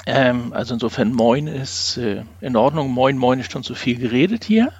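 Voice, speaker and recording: A man's voice: medium pitch, lively intonation, moderate pace, darkish tone, breathy edge. A middle-aged man, talking in a discursive, wry way as a guest in a podcast interview. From northern Germany, near Hamburg. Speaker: podcast guest, organisational development consultant. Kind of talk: reflective conversational interview. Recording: dull, roomy lo-fi sound.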